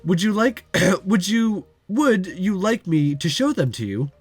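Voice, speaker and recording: Man reading as a polite, teasing young waitress, animated intonation. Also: high-pitched, a little slow, husky, faint music behind, exaggerated voice. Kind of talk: visual novel dialogue read aloud.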